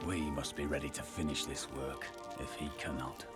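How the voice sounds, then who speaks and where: An actor playing an austere, brooding holy warrior in a video game, making grave, formal pronouncements. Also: male voice, very deep voice, fairly even intonation, normal speed. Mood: solemn. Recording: loud game music behind.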